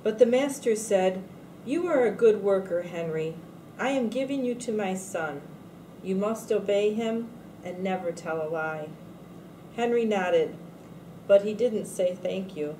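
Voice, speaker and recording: Mellow woman reading a storybook, echoey room. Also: medium pitch, unhurried. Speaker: school staff member reading a story. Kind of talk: storybook read-aloud for children. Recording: echoey room with faint noise.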